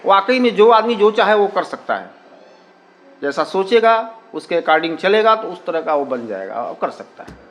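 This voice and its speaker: A man's voice: high voice, very animated, moderate pace, a bit bright. A man giving explanatory interview answers, repeating himself and mixing in English words. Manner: repetitive, peppers Hindi with English words and filler phrases. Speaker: community radio station representative being interviewed.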